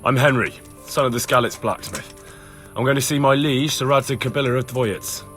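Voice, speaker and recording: A man's voice: mid-range voice, moderate pace, fairly bright tone, faint music behind, lightly acted. A man playing a plain-spoken, dutiful young blacksmith's son in a video game cutscene. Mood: dutiful, firm. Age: young adult.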